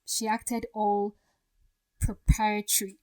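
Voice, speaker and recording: A woman's voice: medium pitch, monotone, slow pace, warm tone, lightly acted, poor audio. A woman playing a defiant, wounded young bride-to-be, speaking firmly and pointedly in a radio drama. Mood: hurt, bitter. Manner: firm, pointed.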